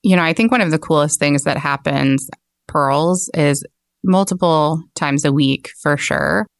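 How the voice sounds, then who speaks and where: A woman's voice: low-pitched, unhurried, gravelly, no echo, clean studio sound. A woman with an American accent explaining things casually as a shop owner being interviewed. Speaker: bookstore owner, interview guest.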